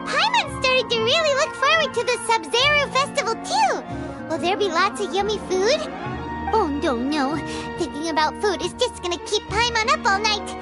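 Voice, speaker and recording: A woman's voice: very high-pitched, animated, normal speed. A woman voicing a tiny, childlike, bubbly fairy companion in a video game, exclaiming eagerly. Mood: chirpy. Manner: refers to self in third person, bubbly, exclamatory. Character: tiny excitable floating fairy girl companion, childlike, food-loving. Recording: playful music behind the voice.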